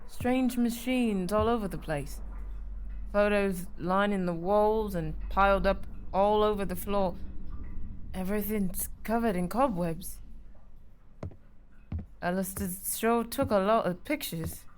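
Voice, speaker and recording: A woman playing a bold, determined girl detective in an audio drama, speaking with brisk authority. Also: mid-range voice, a little hiss or hum, natural voice. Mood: curious, musing.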